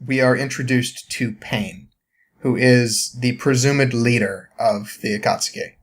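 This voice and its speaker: A young man with a mid-pitched voice talks as a podcast guest, recounting a story in a loose, rambling way. His delivery is informal and often breaks into chuckles. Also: measured pace, slightly rough. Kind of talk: casual chat, rambling story recap.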